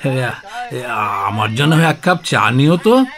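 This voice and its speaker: A man playing a fussy, kindly middle-aged father in a Bengali radio drama, speaking haltingly and repeating his words. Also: medium pitch, big pitch swings, fairly bright tone. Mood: casual, weary.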